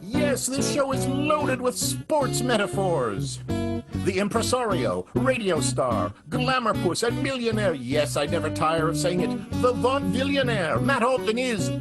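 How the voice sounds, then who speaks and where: A man's voice: high-pitched, big pitch swings, unhurried. A man announcing a comic radio show in a dramatic, playful, showy narrator's style. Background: plucked guitar music.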